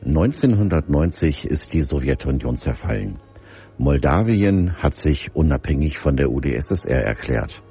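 Very deep, dark-toned male narrator. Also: animated, normal speed, soft background music. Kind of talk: scripted voice-over narration.

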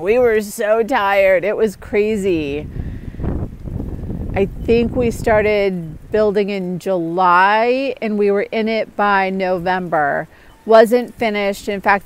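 Middle-aged woman, homestead vlogger, casual and chatty, medium pitch, outdoor recording, clear background music. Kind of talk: casual chat to viewers. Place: outdoors in the woods.

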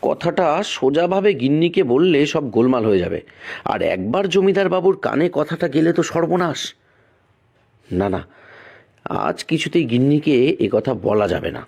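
A man's voice: a little high, big pitch swings, normal speed, gravelly, darkish tone, heavily acted. A man playing a poor, anxious, scheming village farmer in a cartoon, with a theatrical, coaxing way of talking. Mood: secretive, worried. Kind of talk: cartoon voice acting.

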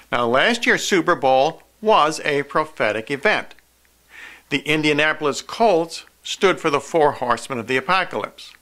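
Casting: older male prophecy preacher, slightly high pitch, forceful bright tone, deliberate and emphatic delivery. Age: older, 60s-70s. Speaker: video preacher, host of a prophecy program. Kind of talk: earnest scripted religious monologue to camera.

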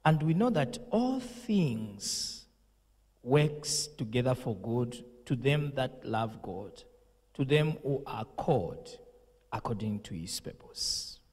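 A young pastor speaks slowly and solemnly into a handheld mic, with the emphasis of a preacher. His voice is husky, and a long reverb trails behind it. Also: mid-range voice, very animated, a little mellow. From Zambia. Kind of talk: condolence message and prayer. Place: indoor studio set with purple lighting.

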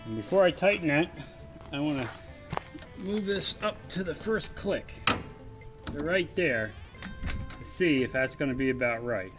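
Slow-talking man explaining a boat job. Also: a little high, lively intonation, over music. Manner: plain, practical, step-by-step explaining while working. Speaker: DIY vlogger. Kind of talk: casual how-to narration. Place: outdoors on a home driveway by a boat trailer. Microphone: camera mounted low on the ground, voice often off-mic.

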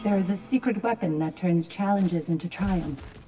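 An actress playing a composed Valkyrie warrior in a video game, telling her story in a husky, measured, reflective voice. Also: on the low side, music in the background, lightly acted. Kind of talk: dramatic game narration.

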